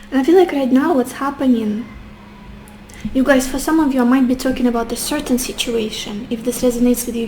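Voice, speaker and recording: Flat-toned tarot reader woman, losing her voice. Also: a little high, slight background noise, low-quality recording. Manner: gentle, addresses viewers warmly as beautiful souls; muses aloud with soft hesitations. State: losing her voice, a little sick. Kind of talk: tarot card reading to the viewer.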